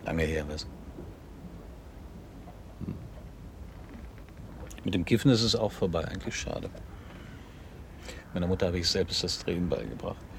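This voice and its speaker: A man's voice: deep voice, measured pace, creaky, long pauses, a little hiss or hum. An actor in a German radio drama playing a nostalgic, ironic man who needles his old friend with musing questions. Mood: wistful musing.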